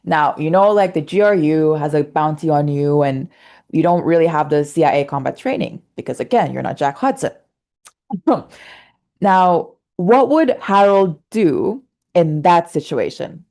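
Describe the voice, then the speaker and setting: A woman's voice: deep voice, expressive, measured pace, darkish tone. A woman hosting an interview who laughs easily and speaks with many fillers. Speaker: interview host. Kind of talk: casual interview conversation.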